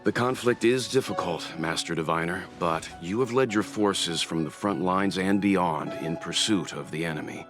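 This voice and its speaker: Actor as a deep-voiced serious male warrior. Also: normal speed, few pauses, close to an everyday voice. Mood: earnest, respectful. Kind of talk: scripted video game voice acting. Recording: loud music under the voice.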